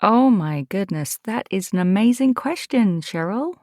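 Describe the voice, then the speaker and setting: A woman's voice: mid-range voice, lively intonation, unhurried, a little mellow, no echo, clean studio sound. A middle-aged woman with an English accent, chatting casually as a guest in an interview. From East Anglia, England. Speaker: podcast guest, voice actor.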